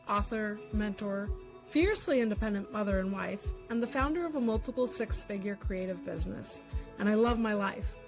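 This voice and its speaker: A woman hosting a podcast interview, effusive and encouraging, with a slow, emphatic delivery. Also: mid-range voice. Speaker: podcast host and mentor.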